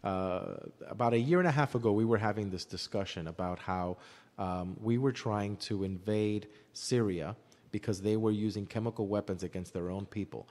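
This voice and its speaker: A man with a fairly low voice, recorded in a hall-like space with long reverb. He explains his views at length as a commentator in a discussion. He repeats phrases for emphasis as he goes. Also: normal speed, darkish tone.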